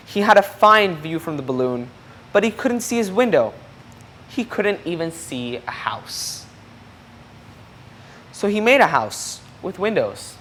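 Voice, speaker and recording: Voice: mid-range voice, fairly bright tone. Storybook reader with noise in the background. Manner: explanatory, asks the listener questions. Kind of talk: expressive read-aloud of a children's picture book, with asides to young listeners.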